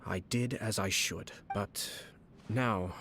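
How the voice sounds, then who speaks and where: An actor playing a composed, shrewd young noble commissioner in a video game, speaking in a measured, formal and polished way with polite menace. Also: male voice, on the low side, a bit bright, a little hiss or hum. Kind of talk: scripted game dialogue.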